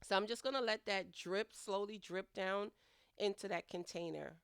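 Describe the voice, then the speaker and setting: A woman's voice: mid-range voice, normal speed, vocal fry, clean tone, no room sound, clean studio sound. A middle-aged woman narrating her home cooking in a chatty, rambling way, sounding nasal from a head cold. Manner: chatty, rambling, laughs at herself. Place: home kitchen.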